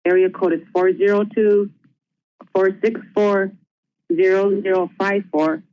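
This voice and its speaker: A woman's voice: medium pitch, steady tone, measured pace, no room sound. A woman who serves as a board secretary, giving plain, friendly webinar remarks. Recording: muffled, dry conference audio.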